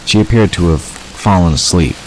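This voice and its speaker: A man's voice: deep voice, moderate pace, a little mellow, a little hiss or hum, poor audio. A man reading scripted story narration as the narrator of an audio drama.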